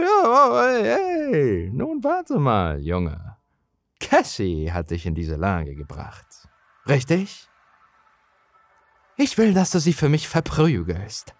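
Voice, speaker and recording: Creepy old man for a horror story, voice pushed up high, slow n wheedling, music under it. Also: a bit bright, dry recording, exaggerated voice. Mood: coaxing, menacing. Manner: slow, drawn-out, wheedling delivery. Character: sinister old man, folksy, coaxing and menacing. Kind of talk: horror audio story character voice.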